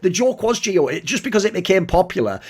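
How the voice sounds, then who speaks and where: A man from the UK with a British regional accent, hosting a casual podcast chat with rapid, animated, jokey banter. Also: sits high, breathy edge. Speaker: podcast host and esports commentator.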